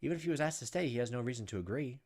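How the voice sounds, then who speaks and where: A man's voice: medium pitch, lively intonation, racing, darkish tone, slight background noise. A man hosting a gaming video, reading on-screen text aloud and adding flippant commentary. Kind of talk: let's-play commentary mixed with reading game text aloud. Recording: game music behind the voice. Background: video game music.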